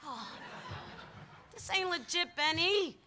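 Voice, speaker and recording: A woman's voice: very high-pitched, animated, slow, sharp tone, exaggerated voice. An actress playing a feisty, suspicious working-class woman on parole in a radio play, with a streetwise New York accent and combative manner. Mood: indignant. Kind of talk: stage play performed for radio.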